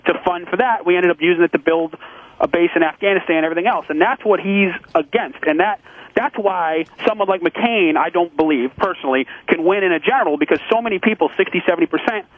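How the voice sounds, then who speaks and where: Over a narrow phone line, a man with a high voice talks fast. He is a caller, earnest and insistent as he gives his political views. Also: no echo. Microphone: telephone.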